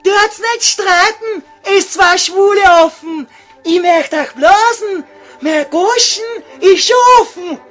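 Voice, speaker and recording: A man's voice: very high-pitched, takes it slowly, a bit bright, soft background music, heavily acted. A man voicing a brazen, eager young woman with a Viennese accent in a comic radio play sketch.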